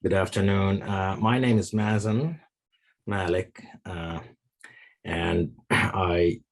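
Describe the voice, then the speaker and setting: A man in his sixties from Palestine, speaking on a video call with many pauses and fillers. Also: low-pitched. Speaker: panelist. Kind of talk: casual video-call talk.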